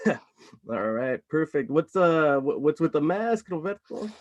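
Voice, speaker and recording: A man's voice: on the high side, very animated, slow pace, no room sound, highs cut off. A man hosting a casual live-stream interview over a video call, friendly, with fillers and dropping in Spanish words. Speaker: host, marketing manager.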